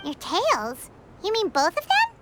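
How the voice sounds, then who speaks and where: A woman voicing a tiny, excitable floating girl companion with a squeaky childlike voice in a video game. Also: measured pace, very noisy, exaggerated voice. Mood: questioning.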